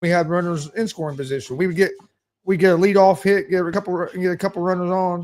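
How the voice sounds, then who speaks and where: A man's voice: sits high, steady tone, fast, a little mellow, soft background music. A man in his forties co-hosting a casual sports talk show, giving a plain, rambling recap. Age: 40s. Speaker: co-host.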